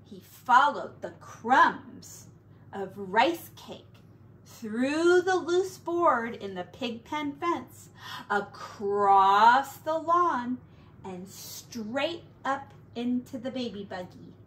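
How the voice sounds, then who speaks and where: A woman teacher reading a picture book aloud to children, slow and expressive. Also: fairly high pitch, poor audio.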